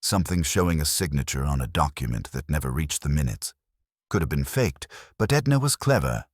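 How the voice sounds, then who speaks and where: A man playing a weary, reclusive old retired councillor, speaking in terse, halting, guarded phrases in a mystery story. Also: very deep voice, very animated, no room sound, studio quality, heavily acted. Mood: guarded, rueful. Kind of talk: audiobook detective mystery character reading.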